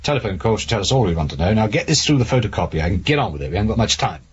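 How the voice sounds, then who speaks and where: A man's voice: fairly low pitch, very animated, racing. A man playing a dry-witted, businesslike senior police investigator in a radio drama. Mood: dry, musing. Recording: muffled radio recording with faint noise.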